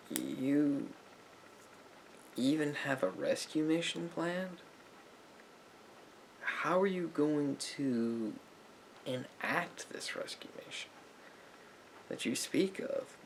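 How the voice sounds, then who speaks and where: A man playing a patient, probing therapist in a soft-spoken ASMR roleplay, with halting, deliberate phrasing and a gravelly voice that drops toward breathy near-whispers. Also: on the high side, very animated, slight background noise, exaggerated voice, poor audio. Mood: probing, puzzled. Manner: halting, deliberate phrasing with pauses and reflective murmurs. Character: patient, probing male therapist gently counselling a client.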